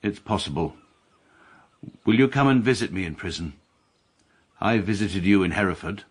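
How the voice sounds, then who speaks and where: An actor playing a formal, deadpan British barrister who narrates in a radio drama. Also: male voice, sits low, moderate pace, husky. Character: stiff, precise English barrister narrator, middle-aged man.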